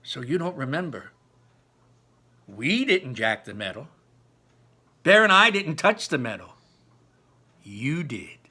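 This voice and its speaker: A man's voice: on the high side, very animated, slow pace, pauses often, sharp tone, slightly rough, pitched above the performer's own voice, full character voice. A man voicing a solemn, sly teenage sidekick to a bully in a storybook, earnest and insistent. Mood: stunned insistence.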